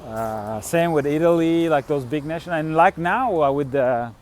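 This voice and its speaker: A man from Turkey, chatting casually about his travels in a halting way, with many fillers and restarts. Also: a little high, darkish tone. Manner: halting, full of "you know" and "like" fillers. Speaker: touring musician and vlogger. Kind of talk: casual chat.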